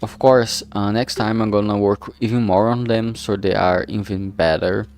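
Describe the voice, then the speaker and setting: A man livestreaming casually while he works, talking in a halting, distracted way that trails off mid-sentence. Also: fairly low pitch, steady tone, darkish tone. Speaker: streamer and visual novel creator. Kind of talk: casual solo livestream chat while working. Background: background music.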